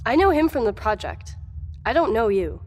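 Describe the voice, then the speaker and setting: Actress as a high-voiced, defiant woman. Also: very animated, normal speed, darkish tone. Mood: wary. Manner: pointed, sardonic, challenging. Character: defiant, distrustful woman standing up to authority. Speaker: voice actor in an audio drama. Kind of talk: audio drama acting. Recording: long hall-like reverb.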